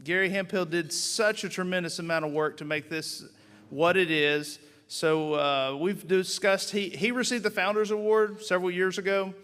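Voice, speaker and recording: A man's voice: high voice, steady tone, moderate pace, fairly bright tone, clear, resonant tone. A man emceeing an awards banquet, speaking informally with many hesitations and fillers. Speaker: organization official and emcee. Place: large banquet hall. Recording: reverberant banquet hall.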